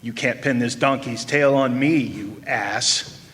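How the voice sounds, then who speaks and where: A man's voice: mid-range voice, takes it slowly, a bit bright. A man playing a blustering, indignant businessman in a comic detective radio play, huffy and curt. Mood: indignant, dismissive. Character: testy, blustering male businessman, an indignant client. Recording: live stage, long hall reverb.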